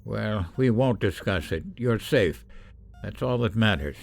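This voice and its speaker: A man's voice: on the low side, animated, measured pace, creaky, faint music behind. An actor playing a middle-aged professor, a stern yet anxious father, in a radio drama. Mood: concerned, firm.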